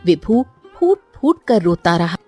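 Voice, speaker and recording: A woman's voice: lowish voice, big pitch swings, unhurried, dark tone, breathy edge, soft background music. A woman narrating a scripted story as a storyteller.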